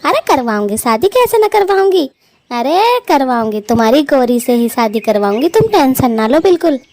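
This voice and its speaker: A woman plays a chatty young female bird in a family cartoon. Her voice sits fairly high, and she speaks in an affectionate, teasing, effusive way. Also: very animated, moderate pace, a little mellow. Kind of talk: Hindi family cartoon story acting.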